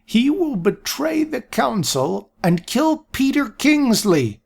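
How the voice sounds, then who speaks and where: A raspy, doddering old seer. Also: male voice, very high-pitched, big pitch swings, voice pushed up, exaggerated voice. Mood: muddled. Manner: slow, vague, repeats himself. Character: doddering old male seer, confused and forgetful. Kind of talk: audiobook character voice.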